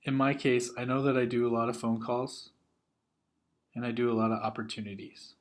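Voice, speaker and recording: A man giving step-by-step instructional narration as a software trainer, in a methodical way. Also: mid-range voice, level tone, brisk.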